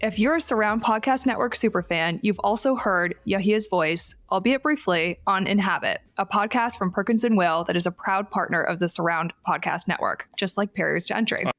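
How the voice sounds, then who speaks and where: A woman's voice: medium pitch, moderate pace, hardly any pauses, a bit bright, no echo, soft background music. Upbeat Canadian woman co-hosting, dry sound. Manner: upbeat, laughs easily. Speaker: podcast co-host. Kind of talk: casual podcast chat and guest intro.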